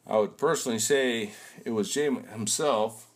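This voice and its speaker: A young man playing a shady older businessman on a phone call, speaking in a low, clipped way. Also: moderate pace, lightly acted. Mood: cool. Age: young adult.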